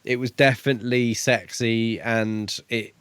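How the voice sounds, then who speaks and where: A man's voice: mid-range voice, steady tone, measured pace, fairly bright tone, clear, resonant tone, dry recording. A man, co-hosting a podcast in a casual book review chat. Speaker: podcast co-host.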